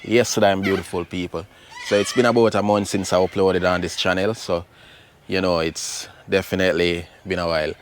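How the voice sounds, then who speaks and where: A man's voice: sits low, flat delivery, moderate pace, slight background noise. A man from Jamaica with a Jamaican accent, chatting casually in his backyard garden as a channel host, laid-back and full of fillers. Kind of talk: casual garden vlog chat.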